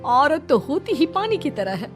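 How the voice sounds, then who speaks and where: A woman's voice: high-pitched, big pitch swings, moderate pace, over music. A woman voicing an affectionate, teasing and scolding Indian mother-in-law in a Hindi cartoon, with exclaiming delivery. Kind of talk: Hindi cartoon story dialogue.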